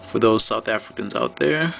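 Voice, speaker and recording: A man's voice: medium pitch, moderate pace, gravelly, sharp tone, a little hiss or hum. A man giving an unscripted how-to demonstration as a seller, explaining things plainly, step by step. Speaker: product demonstrator and phone seller.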